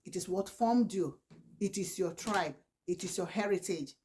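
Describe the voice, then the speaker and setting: A woman in her forties speaking with a Nigerian accent, her first language Igbo. Her voice sits a little low, and she pleads earnestly, restarting her sentences often. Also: normal speed, a little mellow, slight background noise. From southeastern Nigeria. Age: 40s. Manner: earnest and pleading, with repeated "please" and frequent restarts. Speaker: vlogger, mother of four. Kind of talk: earnest advice talk to camera.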